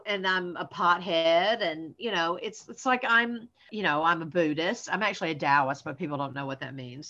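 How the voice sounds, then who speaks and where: A woman with a Southern American accent talks as a comedian guest, blunt and profane, laughing at herself. Her voice is bright and forward. The recording sounds slightly dull and lo-fi. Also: medium pitch. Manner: blunt, profane, self-mocking, laughs often. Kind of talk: casual podcast interview.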